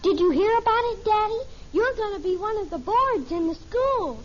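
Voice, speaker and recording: An actress playing an excitable, dramatic young daughter in a 1950s radio family sitcom. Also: female voice, extremely high pitch, normal speed. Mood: eager. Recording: muffled, noisy vintage radio recording.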